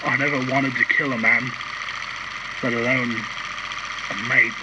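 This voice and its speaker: A man's voice: mid-range voice, fairly even intonation, measured pace, lightly acted. An actor playing a conflicted but resolute working man, giving a grave, earnest monologue in an audio drama. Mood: grave resolve. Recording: muffled lo-fi recording, loud engine-like noise.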